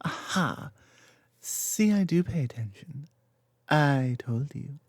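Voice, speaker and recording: Woman acting as a loving lamia girlfriend, low-pitched, expressive swings in intonation, soft, teasing, confident, intimate ASMR roleplay. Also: slightly rough, dry recording, clean studio sound, exaggerated voice. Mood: affectionate, lighthearted. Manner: soft, teasing, unhurried with playful asides.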